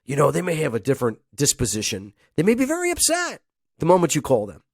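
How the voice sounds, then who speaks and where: A man's voice: on the high side, huge pitch swings, fast, vocal fry, no echo, studio quality. A man hosting a casual solo podcast, sounding nasal from a head cold, rambling and chuckling as he talks. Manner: rambling, chuckles often, acts out phone calls. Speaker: podcast host.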